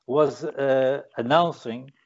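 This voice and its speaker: A man's voice: mid-range voice, slow pace. A man from Portugal interviewing a guest, hesitant with many fillers and restarts. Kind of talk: video interview. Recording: dull lo-fi audio.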